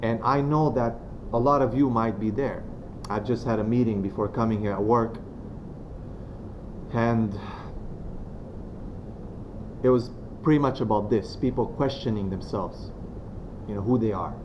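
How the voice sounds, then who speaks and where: A man from Romania gives a talk on faith to a room of students. He speaks in a fairly low voice, softly and without hurry. The recording is muffled and has a steady noise behind it. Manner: soft-spoken, unhurried, many pauses and fillers. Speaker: guest speaker. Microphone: venue microphone. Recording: dull lo-fi sound with clear background noise.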